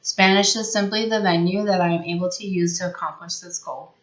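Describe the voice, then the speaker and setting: A woman's voice: lowish voice, steady tone, a little fast. Woman reading earnestly in an echoey room. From New York State, US. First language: Italian. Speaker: high school Spanish teacher. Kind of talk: prepared award acceptance statement. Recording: echoey room, dull lo-fi sound.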